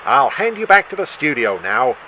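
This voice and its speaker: A man's voice: mid-range voice, big pitch swings, moderate pace, pushed and bright, slight background noise, low-quality recording. A man playing an awestruck radio news reporter in an audio drama, giving gushing live commentary in a projected announcer's style. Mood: thrilled.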